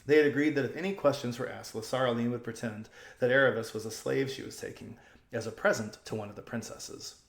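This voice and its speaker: A man reading a children's story aloud as an expressive audiobook narrator. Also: mid-range voice, quick pace, creaky, reverberant.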